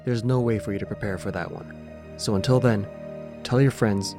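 A man with a lowish voice delivers a scripted podcast outro as host. A song plays clearly behind him throughout. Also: moderate pace, over music. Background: song playing under the voice.

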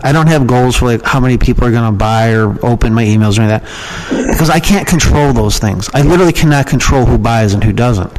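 A man's voice: mid-range voice, quick pace, few pauses, a little mellow, a little hiss or hum, rough recording. A man giving casual, rambling and frank answers as an opinionated guest in an interview. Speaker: podcast guest, email marketer and copywriting trainer.

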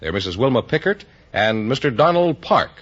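Lively American male radio announcer, slightly husky. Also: mid-range voice, moderate pace. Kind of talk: 1950s radio advertising announcer. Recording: dull vintage radio recording.

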